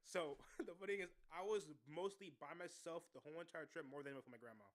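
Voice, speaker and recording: A man's voice: a little high, lively intonation, fast. A young man from Miami co-hosting a live radio show, chatting casually and rambling with restarts as he tells stories about his family. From Miami, USA. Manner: rambling, restarts, laughs at himself. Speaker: co-host. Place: radio studio.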